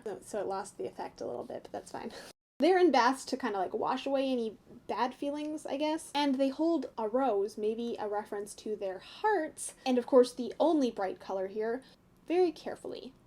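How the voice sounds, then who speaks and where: Soft-toned, high-voiced young North American woman. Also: expressive, moderate pace. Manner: chatty, giggly, tentative, thinking aloud. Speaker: YouTube host giving fan commentary. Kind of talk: casual analysis chat to viewers.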